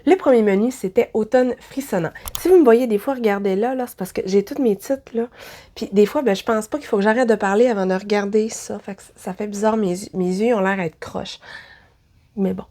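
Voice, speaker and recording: A woman's voice: mid-range voice, brisk, mellow. A woman with a Québécois French accent, talking with a head cold, chatting through a casual book review vlog with self-deprecating asides. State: has a head cold, stuffy voice. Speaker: book vlogger.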